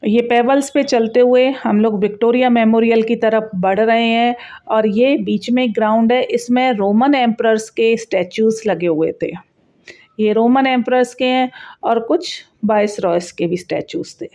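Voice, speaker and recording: A woman's voice: fairly high pitch, measured pace, dark tone. A woman giving a casual, chatty travel vlog voice-over, explaining sights directly to viewers. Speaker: travel vlogger narrating a sightseeing tour. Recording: dull, muffled lo-fi sound.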